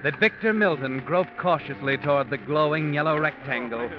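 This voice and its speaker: An actor playing a mysterious night-walking storyteller, narrating crime tales in a theatrical, foreboding 1940s radio style. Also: male voice, a little high, moderate pace, lightly acted. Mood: grim, suspenseful. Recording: muffled vintage radio, loud music behind.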